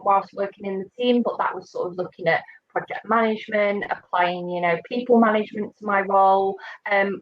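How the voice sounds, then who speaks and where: A woman's voice: on the high side, fairly bright tone, highs cut off. A young woman, an apprentice on a panel, chatting in a friendly way and speaking straight to students. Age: young adult. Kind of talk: casual webinar talk.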